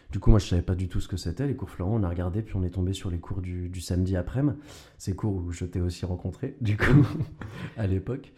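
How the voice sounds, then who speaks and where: A young man from Canada, an actor, telling his story casually with slang and frequent restarts. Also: sits low. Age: young adult. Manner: relaxed, slangy, stumbling restarts. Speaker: actor and guest. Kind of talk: casual conversation.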